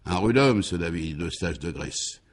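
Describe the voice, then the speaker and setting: A laconic detective sidekick played by a man in a comic radio serial. He muses in a low, deadpan mutter, dropping well down in pitch. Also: unhurried. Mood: pensive, deadpan.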